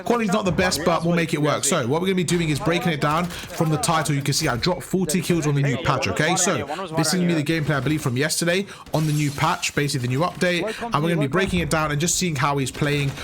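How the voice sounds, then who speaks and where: Fast-talking man breaking down gameplay, slightly croaky. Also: a little high, a crowd in the background. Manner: casual, slangy, repeats stock phrases. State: sore throat, slightly croaky. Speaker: gaming YouTuber, host.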